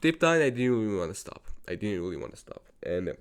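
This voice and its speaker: A man's voice: lowish voice, very animated, fairly quick, smooth. A young man from Georgia, a native Georgian speaker, telling a personal story in an earnest, repetitive way with frequent swearing. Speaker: vlogger. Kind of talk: personal confessional monologue.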